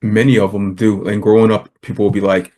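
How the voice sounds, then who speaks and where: A middle-aged man hosting a podcast, speaking in a measured, unhurried way. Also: fairly low pitch, level tone, mellow. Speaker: podcast host. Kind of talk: casual conversation.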